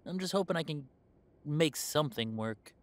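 A man's voice: a little high, lively intonation, moderate pace, natural voice. A man playing an easygoing, confident young werewolf in a roleplay audio drama, talking in a chatty, hesitant, conversational way. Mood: casual, easygoing. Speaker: voice actor.

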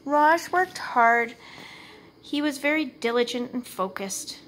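A woman reading a picture book aloud as a storyteller, with expressive, measured, dramatic phrasing. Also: sits high, slight background noise. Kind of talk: expressive read-aloud narration.

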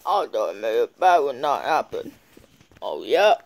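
A man improvising a toy-car skit in a bedroom, with halting, rambling play-acting. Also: mid-range voice, big pitch swings, creaky, a little hiss or hum, rough recording. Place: bedroom at home.

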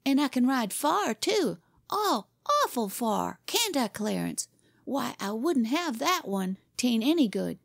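Woman playing a pompous, high-pitched bragging little boy. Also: very animated, measured pace, creaky, no room sound, studio quality, heavily acted. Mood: boastful. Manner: bragging, insistent, repeats himself. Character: boastful pompous small boy, loud and scornful. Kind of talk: storybook character reading.

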